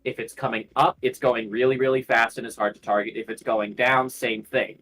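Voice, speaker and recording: Man with a higher-set voice, reasoning aloud. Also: little pitch movement, a little fast, fairly bright tone, rough recording. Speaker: livestream panelist. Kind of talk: casual speculative discussion.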